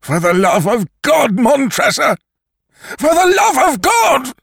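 A man's voice: rumbling low, takes it slowly, breathy edge, dry recording, studio quality, full character voice. A man voicing a delirious dying man who raves and cries out as he is carried to the flames. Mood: screaming. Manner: raving, rising to a wild scream. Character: dying man raving in a crematorium.

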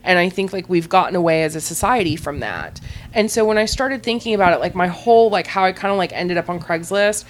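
A woman's voice: on the low side, animated, fast, a little hiss or hum. A woman guest on a podcast, telling blunt, unembarrassed stories in a rambling way full of "like". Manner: rambling, heavy use of "like". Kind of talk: candid casual storytelling.

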